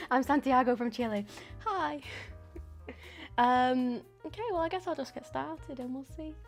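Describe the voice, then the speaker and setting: A woman's voice: high-pitched, expressive, measured pace, faint music behind. Chatty young woman live streaming. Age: 20s. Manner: chatty and rambling, with frequent ums and soft laughs. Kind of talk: casual live stream chat while painting.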